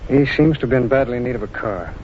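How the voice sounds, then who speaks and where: An actor playing a calm, methodical federal agent in a 1940s radio drama, talking in a matter-of-fact, businesslike way. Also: male voice, mid-range voice, fast talker, slight background noise, lightly acted. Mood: investigating. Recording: muffled 1940s radio broadcast.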